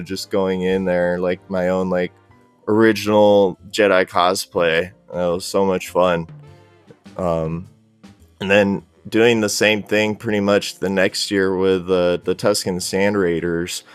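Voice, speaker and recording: Low, smooth-voiced man chatting casually. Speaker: podcast guest, cosplayer. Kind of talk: casual interview chat.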